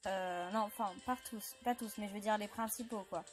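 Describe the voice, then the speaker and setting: A woman's voice: mid-range voice, steady tone, normal speed. A woman with a cold and a dry throat, rambling and apologizing as she comments on her mobile game. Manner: chatty and rambling, often apologizing. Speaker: gaming channel host. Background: game music.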